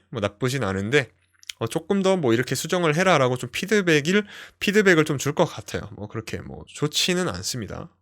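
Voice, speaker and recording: A young man hosting a screen-share tech tutorial, explaining step by step with frequent fillers. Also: medium pitch. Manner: explanatory, lively, many fillers "어" and "뭐".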